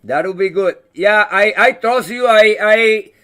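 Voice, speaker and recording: A man whose first language is not English, hosting a casual live chat, talking in a halting way and searching for words. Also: high voice, sharp tone, smooth. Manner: friendly, thanks viewers by name. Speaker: livestream host.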